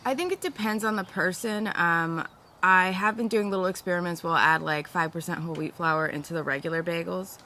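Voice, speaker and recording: Bright-toned woman baker, relaxed chat. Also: fairly low pitch, brisk, slight background noise. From upstate New York. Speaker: bagel baker. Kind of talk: casual interview chat.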